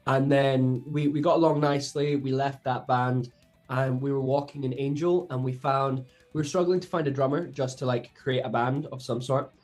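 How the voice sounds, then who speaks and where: A man in a band chatting casually in an interview, relaxed and hesitant, often laughing as he tells stories. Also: mid-range voice, moderate pace. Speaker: band member, songwriter.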